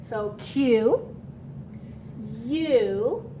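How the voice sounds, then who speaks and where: Slow, high-pitched woman teaching children. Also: expressive, mellow, slight background noise, rough recording. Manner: deliberate, instructional, repeats key words and spells them out. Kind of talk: children's read-aloud lesson.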